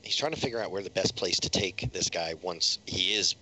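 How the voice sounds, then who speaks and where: A man's voice: low voice, fairly quick, bright, forceful tone, rough recording. A man playing a tabletop game, talking casually as he plans moves with the group. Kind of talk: casual in-game planning chat.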